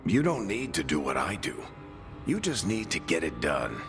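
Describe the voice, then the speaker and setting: A man's voice: sits low, huge pitch swings, normal speed, music in the background, full character voice. An actor playing a seasoned, wry team leader in a video game, talking in a colloquial, fatherly and encouraging way. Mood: fond encouragement. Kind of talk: dramatic video game dialogue.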